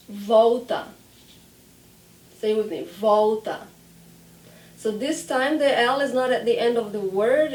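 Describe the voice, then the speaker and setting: Casting: female language teacher, Brazilian accent, native Portuguese, soft warm tone, demonstrative enunciation, recorded at a distance from the mic. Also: mid-range voice, slight background noise. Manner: clear demonstrative enunciation, repeats example words. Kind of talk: instructional pronunciation lesson. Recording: roomy echo, distant microphone.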